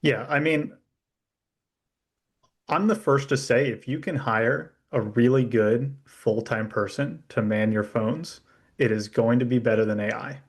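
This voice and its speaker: A man's voice: mid-range voice, a little slow, breathy edge. A younger American man from Florida, talking casually as an interview guest and startup founder. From Florida, USA.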